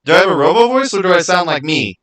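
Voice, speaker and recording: A man's voice: sits high, sing-song, fast, a bit bright. A man streaming live, chatting casually about game lore in an emphatic way and repeating his phrases for stress.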